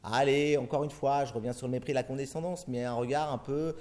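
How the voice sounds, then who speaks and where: Fast-talking, rambling French man. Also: medium pitch, fairly even intonation, darkish tone. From France. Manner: rambling, many restarts and fillers. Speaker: film director. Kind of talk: interview answers.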